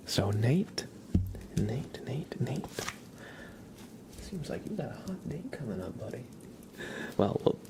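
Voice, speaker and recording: A man hosting an ASMR livestream, talking softly in a gentle, unhurried, chatty way to his viewers. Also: on the low side, noisy background. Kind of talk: ASMR, soft whispered live chat and reading.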